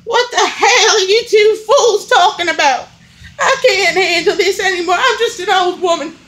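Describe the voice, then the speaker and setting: An extremely high-pitched old woman in a comedy skit. She is exasperated and hot-tempered, with a wailing, dramatic way of complaining and a foul mouth. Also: moderate pace, fairly bright tone. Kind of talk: comic household argument skit.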